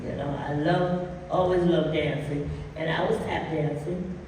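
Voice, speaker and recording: An older woman from Harlem spins a long story from her youth, animated and quick to laugh. Her voice comes from a distance across a large hall, with a long echo trailing each phrase and faint background noise. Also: sits low. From Harlem, New York. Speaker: guest dancer. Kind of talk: storytelling on a panel. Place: large hall at a dance camp.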